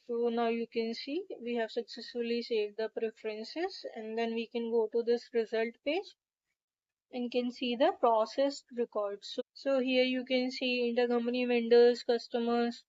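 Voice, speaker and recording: Female tutorial presenter, higher-pitched voice, methodical step-by-step delivery, slightly muffled voice-over sound. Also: flat delivery, moderate pace, a little mellow. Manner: methodical, instructional. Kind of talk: step-by-step screen tutorial narration.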